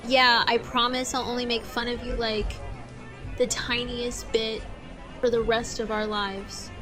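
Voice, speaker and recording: A woman's voice: fairly high pitch, flat delivery, a little slow, over music, lightly acted. A woman acting a teasing young woman in an audio drama. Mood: amused.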